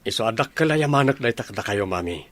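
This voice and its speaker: A man's voice: lowish voice, lively intonation, fast talker, a bit bright. A man with an Ilocano accent playing an earnest, lovestruck young man and devoted son in a comedy radio drama. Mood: earnest, happy.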